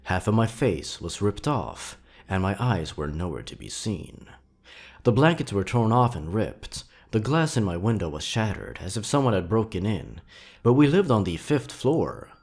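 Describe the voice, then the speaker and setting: A man narrating scripted creepy stories in a dramatic, suspenseful, theatrical way with drawn-out pauses. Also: fairly low pitch, sing-song, a little mellow. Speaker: horror story narrator and channel host.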